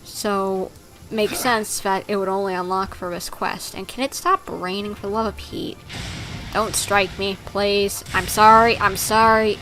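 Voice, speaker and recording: A woman's voice: medium pitch, steady tone, unhurried, a bit bright. A woman streamer giving casual, chatty live commentary on a game, with game ambience and some noise behind her. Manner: chatty, reacting aloud to the game.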